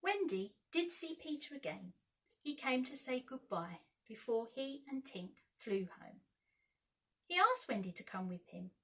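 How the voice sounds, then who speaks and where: A woman narrating a children's story expressively, with dramatic, measured phrasing. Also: high-pitched, very animated, a bit bright, breathy edge. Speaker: storyteller, school staff reader.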